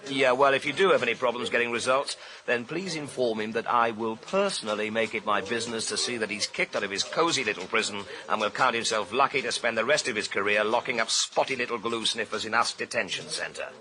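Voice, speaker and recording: An actor playing a driven, blunt British police inspector in a radio thriller, with a brisk, commanding, slangy manner. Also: male voice, medium pitch, sharp tone, lightly acted, poor audio. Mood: impatient, commanding. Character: driven, blunt male police inspector leading a murder hunt.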